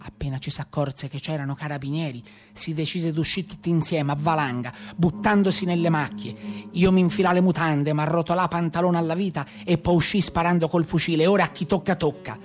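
An actor playing a rough, boastful Maremma brigand in a theatrical monologue, with a Tuscan accent and earthy, colloquial storytelling. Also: male voice, on the high side, steady tone, a little fast, faint music behind, close to an everyday voice. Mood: gruff recounting.

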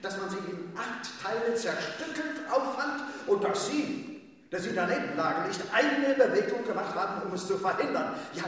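An actor playing a pompous, indignant official who accuses in a declamatory manner in a radio play. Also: male voice, sits high, fairly bright tone. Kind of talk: German radio play acting. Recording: echoey hall, distant from mic.

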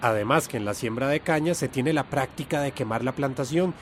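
A man's voice: medium pitch, fairly quick, slight background noise, close to an everyday voice. A male actor playing an earnest, patient young farming adviser in a radio drama. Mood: explaining. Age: young adult.

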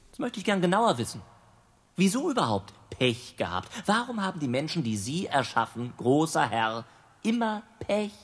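An actor playing the devil Lucifer in a radio play, needling and ironic, asking mocking questions and co-narrating. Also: male voice, a little high, very animated, normal speed, a bit bright, slightly rough, heavily acted. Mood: curious. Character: sardonic male devil Lucifer, mocking and curious. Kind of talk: radio drama acting.

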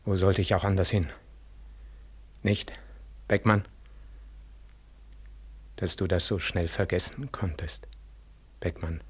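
An actor in a 1940s German radio drama playing a dead war returnee, a mournful, gently accusing ghost who speaks slowly and solemnly with heavy pauses. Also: male voice, low voice, slightly rough, exaggerated voice. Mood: mournful accusation. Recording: 1940s radio studio recording.

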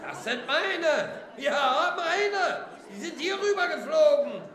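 Shrill, excitable audience member. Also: male voice, slow, full character voice. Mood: excited, greedy. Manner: shouting, indignant exclamations. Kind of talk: radio drama acting. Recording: echoey hall with faint crowd.

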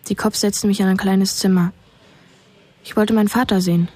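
A woman's voice: mid-range voice, fairly even intonation, a little fast, mellow, lightly acted. A woman playing a numb, watchful ten-year-old boy, narrating in a matter-of-fact radio drama style. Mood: detached.

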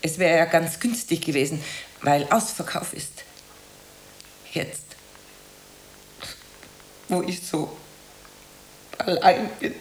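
A woman's voice: deep voice, slow, pauses often. A woman playing an opinionated, lonely older landlady in a radio drama, speaking in a matter-of-fact, sententious way. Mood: confiding, wistful. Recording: faint hiss.

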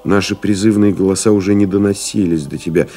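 An actor playing a pilot's devoted friend, solemnly retelling the ordeal in measured, rhetorical literary radio play narration. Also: male voice, low voice, steady tone, warm tone. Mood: hypnotic, intense. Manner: grave, measured, rhetorical, building in intensity.

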